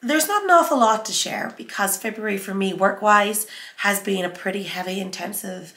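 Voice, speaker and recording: A woman's voice: mid-range voice, normal speed, bright, forceful tone. A woman who has a cold and a sore throat, chatting casually on her sewing vlog. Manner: chatty, conversational, with fillers. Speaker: sewing channel host. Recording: echoey room.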